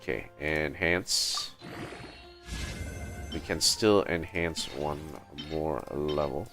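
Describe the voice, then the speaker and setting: Male game streamer, very low gravelly voice, halting think-aloud delivery, light music underneath. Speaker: game livestreamer. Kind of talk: casual livestream gameplay commentary.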